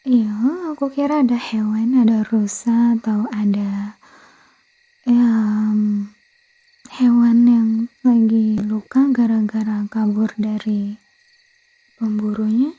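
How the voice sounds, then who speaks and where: Woman as a breathy, whispery Indonesian vampire girl. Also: fairly high pitch, poor audio. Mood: curious, concerned. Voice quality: breathy, soft. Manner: hesitant, stammering, self-correcting, coaxing. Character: blood-craving young female vampire girlfriend, harmless, hesitant, pleading. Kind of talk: intimate ASMR roleplay, much of it whispered.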